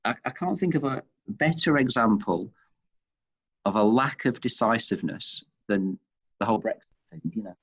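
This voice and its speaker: A man from Manchester with a Northern English accent, answering interview questions in a thoughtful, halting way, restarting phrases as he goes. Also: fairly low pitch, slightly rough. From Manchester, England. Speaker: interview guest, chief executive of leadership institute. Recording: dull, muffled lo-fi audio.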